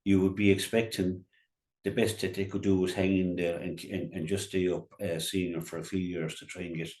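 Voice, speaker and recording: Deep-voiced, halting Irishman from County Clare. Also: level tone, darkish tone, reverberant. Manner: halting, repeats words and restarts often. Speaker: local radio sports pundit. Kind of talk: unscripted GAA sports commentary on local radio.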